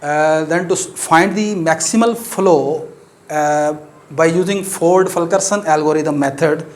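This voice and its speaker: A man whose first language is Urdu, speaking English with an Urdu accent as he gives a maths lecture, explaining step by step with frequent pauses and fillers. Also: on the high side.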